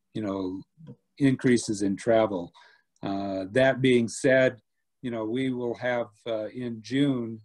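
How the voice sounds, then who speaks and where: A man in his fifties, an airport director, answering questions over a video call in a steady, measured way with many pauses and "uh"s. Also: on the low side. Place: home room indoors. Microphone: laptop webcam on video call.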